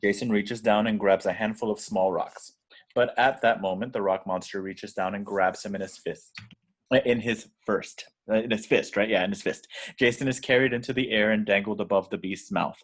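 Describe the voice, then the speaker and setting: Low-voiced man narrating a script. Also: fairly even intonation, normal speed. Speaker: reader of action descriptions. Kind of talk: scripted narration at table read.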